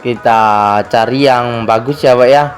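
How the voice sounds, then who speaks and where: A slightly hoarse man narrates a casual tutorial as host, chatty and fond of repeated verbal tags. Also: medium pitch, fairly even intonation, unhurried, faint music behind.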